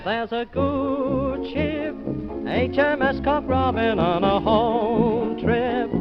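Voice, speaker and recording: A man's voice: extremely high pitch, steady tone, takes it slowly. A man singing a jaunty vintage novelty number in dance-band style. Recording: dull old music recording. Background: loud dance band music.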